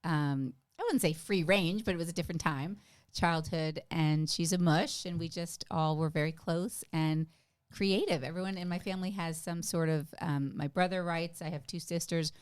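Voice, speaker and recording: Chatty middle-aged woman, slightly low voice. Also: moderate pace, smooth. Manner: chatty, emphatic, enthusiastic. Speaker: podcast guest, stand-up comedian. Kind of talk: casual conversational storytelling.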